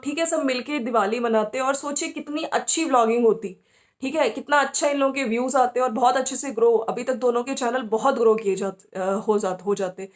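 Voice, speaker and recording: A woman giving casual opinion commentary as a host, talking conversationally and often checking for agreement. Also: fairly high pitch. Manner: conversational, often seeking agreement with tag questions.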